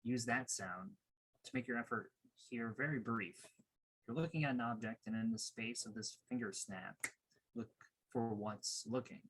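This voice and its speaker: A man leading a guided meditation as a coach, giving measured, instructive directions in a husky voice. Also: mid-range voice, a little hiss or hum, poor audio.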